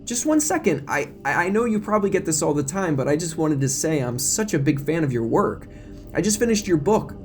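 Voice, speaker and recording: Man playing an eager, gushing, higher-pitched woman reporter. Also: brisk, soft background music. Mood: gushing, insistent. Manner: flustered, stammering, breathlessly flattering. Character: eager, gushing middle-aged woman small-town reporter. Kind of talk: audio drama character acting.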